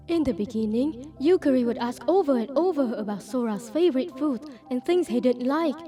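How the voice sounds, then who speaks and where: A woman voicing a gentle, wistful grandmother in her sixties, narrating a manga dub. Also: sits high, natural voice. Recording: background music under the voice.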